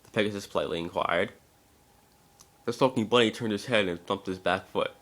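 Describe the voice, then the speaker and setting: A man's voice: lowish voice, fairly quick, fairly bright tone. A man narrating a story as an amateur dramatic reader, occasionally stumbling over the text.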